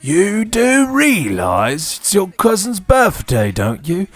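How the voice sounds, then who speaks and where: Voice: mid-range voice, wildly swooping pitch, slow pace, full character voice. A performer voicing a cruel middle-aged uncle who scolds with contempt in a comic audio story. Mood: scornful.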